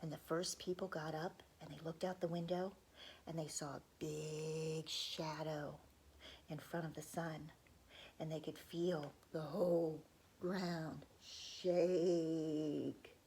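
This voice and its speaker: A woman reading a folk tale aloud to children, pausing to ask them questions and dropping into whispers. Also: low voice, level tone, mellow, a little hiss or hum. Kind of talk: children's read-aloud storytelling.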